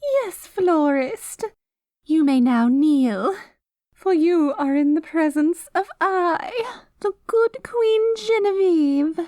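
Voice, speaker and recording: A woman's voice: extremely high pitch, expressive, slow pace, dark tone, no echo, studio quality, heavily acted. A woman voicing a haughty, self-righteous good queen with a cruel streak, in pompous, theatrical fantasy roleplay style. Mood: grand, self-important. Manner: pompous, theatrical, mock-archaic diction. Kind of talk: scripted fantasy audio roleplay.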